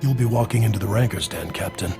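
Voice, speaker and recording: An actor playing a fat, gruff, worried underworld boss with a wry, blunt manner in a video game. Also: male voice, on the low side, fast, music in the background. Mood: businesslike, wry. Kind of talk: video game character dialogue.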